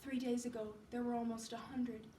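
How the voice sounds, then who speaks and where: A woman performs a sick, resigned young woman in a dramatic recital. The voice is soft, faint and weary, trailing away. It comes distantly through an echoey, slightly noisy room. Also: a little high, flat delivery, a little fast, lightly acted. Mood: faint, listless. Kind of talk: solo dramatic storytelling performance. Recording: echoey room, distant mic, background noise.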